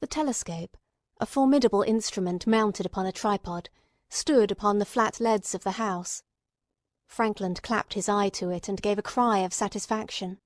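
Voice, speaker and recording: A woman reading scripted literary narration as an audiobook narrator, in a measured, carefully phrased storytelling manner. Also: medium pitch, no echo, studio quality.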